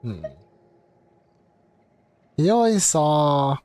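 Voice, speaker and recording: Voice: medium pitch, big pitch swings, extremely slow, a little mellow, no echo. Chatty streamer greeting viewers. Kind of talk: casual live-stream chat.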